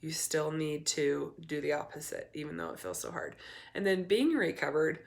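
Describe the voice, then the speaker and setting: A young adult woman, hoarse and raspy from a cold, talking candidly to camera as a recovery coach, with many ums and restarts. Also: low-pitched, big pitch swings, normal speed. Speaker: eating disorder recovery coach. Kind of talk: casual talk to camera.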